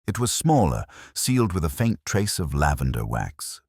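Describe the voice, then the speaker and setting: A man's voice: rumbling low, big pitch swings, normal speed, a little mellow, no echo, studio quality. A man reading scripted narration for a mystery story.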